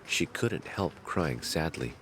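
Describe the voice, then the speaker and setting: A man narrating a fairy tale in a measured, dramatic storytelling manner. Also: extremely low pitch, expressive, background noise.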